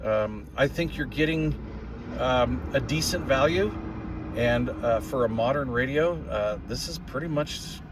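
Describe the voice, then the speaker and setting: An older man talks in a medium-pitched voice, casually reviewing equipment as a hobbyist. His delivery is folksy and plain-spoken. He rambles from point to point like someone chatting to regular viewers. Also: unhurried, noisy background. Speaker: radio hobbyist reviewer and video host. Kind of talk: casual product review vlog.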